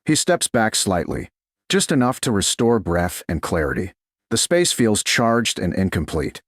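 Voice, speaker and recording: A man narrating a scripted romance story in a measured, deliberate, dramatic way, phrase by short phrase. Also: fairly low pitch, sing-song, dry recording, studio quality. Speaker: audiobook narrator.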